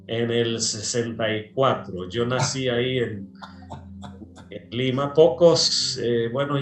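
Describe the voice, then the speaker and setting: Man from Peru, pastor, slow unhurried talk, many fillers, roomy echo, faint music behind. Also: mid-range voice. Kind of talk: casual interview chat.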